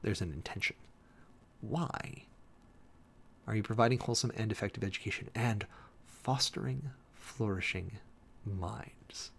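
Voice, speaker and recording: A man's voice: fairly low pitch, unhurried, vocal fry, long pauses, husky. A man giving a dramatic, emphatic reading of an official document, breaking off often for sardonic asides and commentary as host. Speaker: host, preacher.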